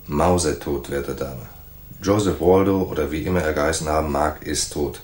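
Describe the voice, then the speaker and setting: Deep-voiced, sardonic hard-boiled detective. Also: male voice, steady tone, normal speed, darkish tone. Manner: dry, wry, clipped. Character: world-weary, sardonic hard-boiled private detective, narrator. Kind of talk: radio crime drama acting and first-person narration.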